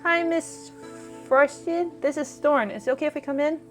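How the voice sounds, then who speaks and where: A woman's voice: sits high, steady tone, moderate pace, faint music behind, pitched above the performer's own voice, lightly acted. A woman voicing an eager young adult woman who investigates strange creatures in a video game, casual, plain-spoken and earnest. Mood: polite.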